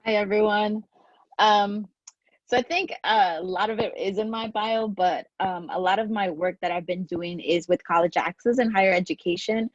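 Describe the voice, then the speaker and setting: A woman's voice: mid-range voice, fairly even intonation, normal speed, no room sound, highs cut off. A woman in her thirties, a New Yorker, speaking earnestly as an alumni panelist with many fillers. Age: 30s. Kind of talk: casual panel talk.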